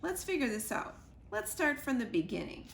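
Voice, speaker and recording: A woman voicing a patient, helpful little female chick who asks gentle, reasonable questions. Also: on the high side, very animated, moderate pace, vocal fry, slight background noise.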